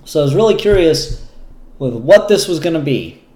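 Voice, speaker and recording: A man hosting a casual, unscripted review show, talking in a halting way with pauses mid-sentence and casual swearing. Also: on the high side, animated, a little mellow. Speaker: comic book reviewer and show host. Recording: roomy, echoey room sound.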